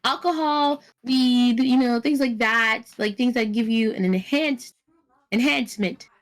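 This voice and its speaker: Female podcast co-host, higher voice, teasing banter with frequent laughs. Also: measured pace. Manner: playful, teasing, laughs often. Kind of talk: casual chat.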